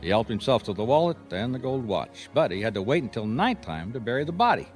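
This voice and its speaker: A man playing a wry, persistent private detective in a radio mystery, talking in measured, dry wisecracks. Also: mid-range voice, big pitch swings, a little mellow, soft background music, natural voice. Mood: matter-of-fact, wry.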